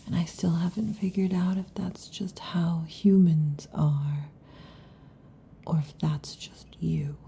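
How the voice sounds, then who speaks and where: A woman voicing a restless, affectionate female lamia in an ASMR roleplay, whispering softly. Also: on the low side, steady tone, slow, slight background noise. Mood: whispery, intimate. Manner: soft intimate whispering. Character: restless affectionate female lamia, snake-tailed monster girl.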